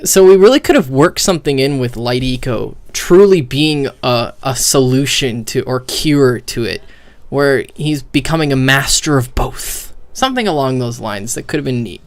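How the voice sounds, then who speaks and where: Young guy streamer rambling about a game, medium pitch, stops and starts, thinking out loud. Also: expressive, moderate pace. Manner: casual swearing. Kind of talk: casual video game stream commentary.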